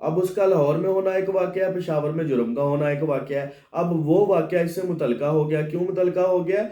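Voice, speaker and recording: A man's voice: fairly high pitch, fairly quick, dark tone. A man with a Pakistani accent teaching as a law lecturer, reading out clauses and explaining them by asking and answering his own questions. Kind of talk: lecture reading English clauses and translating into Urdu. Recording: echoey room sound.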